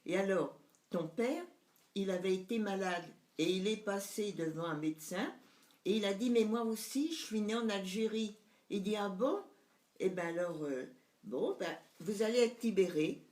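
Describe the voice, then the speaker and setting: A woman's voice: mid-range voice, moderate pace, darkish tone, reverberant. A woman in her nineties, recounting family memories to her son in casual conversation, hesitant and searching for words. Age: 90s. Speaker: mother recalling family memories.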